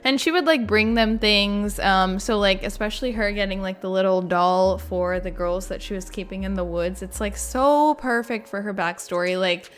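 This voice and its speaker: Female gaming creator, mid-pitched, casual and chatty reaction commentary with light music underneath. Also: normal speed, few pauses, clean tone. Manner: informal, chatty, frequent "like".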